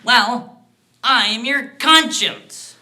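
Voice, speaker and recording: A man's voice: high-pitched, takes it slowly, pushed and bright, voice pushed up, full character voice. A man voicing a tiny shabby dwarf, the mocking, cackling conscience of a narrator, in a dramatic story performance. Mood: taunting. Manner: leering, taunting, cackling. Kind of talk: dramatic solo short-story interpretation.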